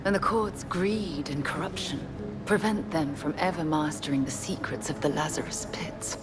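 A woman playing a poised, menacing League schemer in a video game cutscene, speaking formally and deliberately with cool condescension. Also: sits low, very noisy, lightly acted. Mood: cold, taunting.

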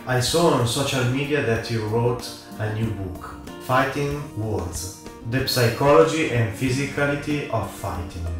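A man's voice: medium pitch, expressive, measured pace. A man interviewing a guest, speaking with non-native English phrasing. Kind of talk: interview questions. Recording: very echoey, music over the voice. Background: guitar music.

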